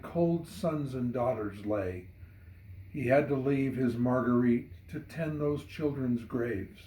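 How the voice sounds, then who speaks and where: A man reading a narrative poem dramatically, in measured and solemn storytelling. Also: medium pitch, warm tone. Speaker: poet reading own poem. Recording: roomy echo.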